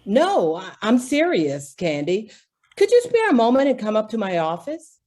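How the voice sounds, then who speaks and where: A woman's voice: on the high side, huge pitch swings, moderate pace, darkish tone. A woman playing a harried, earnest department store executive in an old-time radio drama, exasperated and emphatic. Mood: worried.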